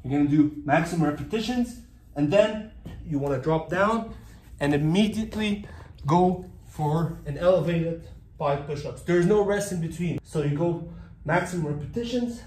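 A man's voice: fairly high pitch, moderate pace, a little mellow. A young man in his twenties giving casual home workout instructions, upbeat and direct, often checking in with "okay?" and "all right?", recorded by a camera on the floor of a tiled room. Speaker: fitness coach. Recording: echoey room with faint ambience.

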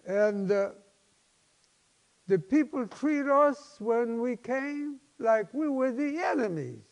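A man in his seventies, a pastor preaching a personal testimony in an emphatic, halting, folksy way. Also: very high voice, expressive. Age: 70s. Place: large church sanctuary, at a lectern. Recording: long hall reverb.

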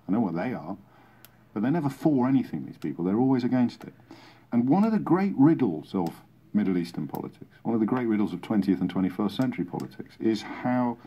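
Man, talk radio host, mellow dark tone, husky, emphatic, opinionated, played through a radio speaker. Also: fairly low pitch. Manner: emphatic, rhetorical repetition. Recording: radio speaker filmed in a room.

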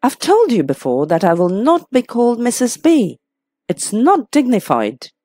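A stubborn society hostess played by a woman narrator from a classic mystery audiobook. She protests in a flustered, plaintive way, with swooping intonation and an unhurried pace. The sound is close and dry. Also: on the high side, very animated, a little mellow, no room sound, pitched above the performer's own voice. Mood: indignant, flustered. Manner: indignant, obstinate protesting.

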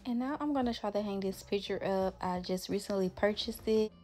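A young woman narrating her cleaning routine in a relaxed, chatty way, with many casual fillers. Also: mid-range voice, fairly quick, noisy background. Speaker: lifestyle vlogger.

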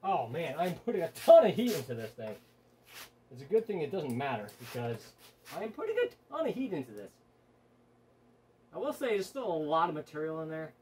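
A man's voice: a little high, very animated, brisk, a little mellow, slight background noise. Rambling man in a garage workshop. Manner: rambling, self-correcting, offhand. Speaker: hobby welder, channel host. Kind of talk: casual workshop talk.

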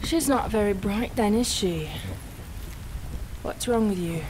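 A woman's voice: mid-range voice, measured pace, background noise, lightly acted. A woman playing a ruthless, contemptuous tentacled pirate captain in an audio roleplay, speaking with imperious scorn. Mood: scornful. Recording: loud rain and ship ambience.

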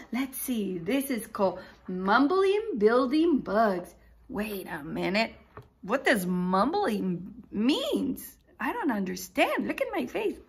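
Slow, lively, high-voiced woman teaching preschoolers. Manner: animated, exaggerated, asking questions and pausing for answers. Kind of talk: interactive read-aloud for young children. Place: small indoor room with picture books behind.

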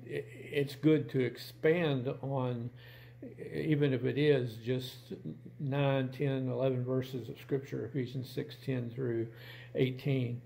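A plain-spoken man in his sixties talking casually and haltingly to camera in a room at home, as host of his channel. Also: medium pitch, level tone, vocal fry, mellow, a little hiss or hum. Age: 60s.

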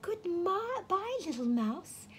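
A woman voicing an owl in a storybook who hoots in alarm, high and loud. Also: very animated, very slow, a little hiss or hum, heavily acted. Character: flustered owl, hooting in alarm.

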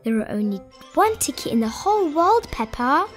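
A woman voicing a kindly mother pig, sensible but excitable, in a children's storybook. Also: high voice, normal speed, soft background music, pitched above the performer's own voice. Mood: gently sensible. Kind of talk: animated children's storybook read-aloud.

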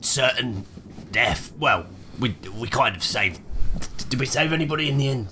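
A man's voice: medium pitch, expressive, moderate pace, sharp tone, slight background noise. A man playing in a tabletop roleplaying game, improvising dialogue in a blunt, exasperated, colloquial way.

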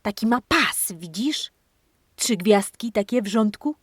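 A woman hosting a children's podcast, telling a playful interactive story in an animated, exclamatory way, speaking straight to kids and a dog. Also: mid-range voice, measured pace, husky, no echo, clean studio sound. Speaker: host of a children's speech-exercise podcast.

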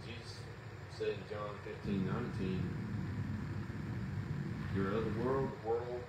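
A man's voice: fairly low pitch, fairly even intonation, slow. A man preaching an earnest, conversational sermon in a small church, sometimes rising to exclamations. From Southern US. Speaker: pastor. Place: small church sanctuary, indoors. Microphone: lapel mic. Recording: roomy, distant, with loud hum.

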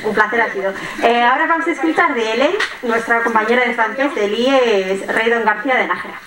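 Woman MC, slightly high voice, handing over between speakers, clear crowd murmur behind. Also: a little fast. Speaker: event presenter. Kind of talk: introducing the next speaker. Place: lecture room with audience, indoors. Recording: echoey hall, distant, audience murmur.

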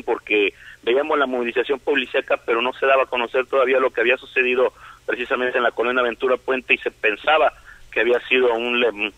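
A man phoning in a detailed news report, steady with small fillers. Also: medium pitch, no echo. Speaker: field reporter. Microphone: telephone. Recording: tinny dry phone line.

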